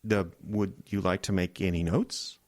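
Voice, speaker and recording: A man's voice: low-pitched, steady tone, measured pace, a little mellow. A man playing a well-meaning, clueless boss in a comedic audio drama, hesitant and stammering. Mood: businesslike. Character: well-meaning, bewildered, socially clueless male radio-show boss.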